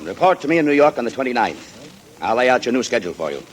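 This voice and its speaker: A man's voice: medium pitch, very animated, fast talker, lightly acted. An actor playing a blunt company boss who lectures and needles his employee. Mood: smug. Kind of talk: 1940s radio acting.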